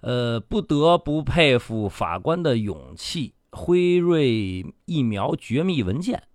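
A man's voice: medium pitch, sing-song, takes it slowly, no room sound, clean studio sound. A man playing a bullying, cynical media boss in a radio drama, domineering and condescending, swearing and badgering with questions. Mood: smug, instructing.

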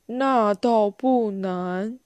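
A woman voicing a wise, calm, philosophical elephant in a children's story, speaking in a slow and deliberate way. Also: medium pitch, clear, resonant tone, dry recording. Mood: calm, detached. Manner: slow, deliberate, measured delivery.

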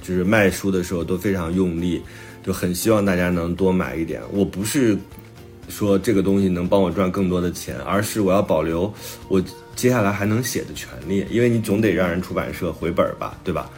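A man's voice: low voice, brisk, darkish tone, soft background music. A man talking casually and plainly as an author and host on a podcast, repeating phrases for emphasis.

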